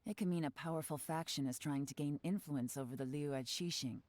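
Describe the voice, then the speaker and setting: A woman voicing a sharp-minded female investigator in a video game, calm and measured as she reasons things through. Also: deep voice, no room sound, lightly acted. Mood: reasoning. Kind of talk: game voice acting. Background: game music.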